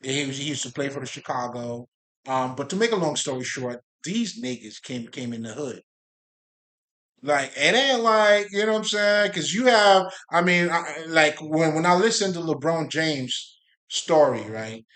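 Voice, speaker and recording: A man originally from Haiti speaking straight to his audience in a loose, rambling monologue. His delivery is animated and full of profanity and repeated fillers. His voice sits a little high for a man. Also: moderate pace. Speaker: spiritual video creator. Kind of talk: casual monologue to viewers.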